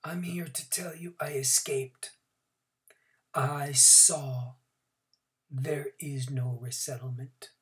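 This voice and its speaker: Reader doing a worn-out old man, grim, barely above a whisper, slow. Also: mid-range voice, steady tone. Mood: grim warning. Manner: hushed, breathy whisper. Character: frail old male camp escapee, grim, exhausted.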